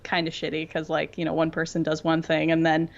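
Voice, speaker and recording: A woman with a lowish voice chats as a podcast co-host. She explains things informally and reflectively, and the audio is a little dull and lo-fi. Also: completely flat delivery, brisk, gravelly. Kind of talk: casual pop-culture discussion.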